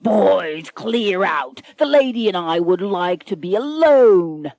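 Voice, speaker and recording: Woman doing a leering one-eyed pirate captain, slow loud threats, dry close sound. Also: mid-range voice, very animated, no room sound. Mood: menacing.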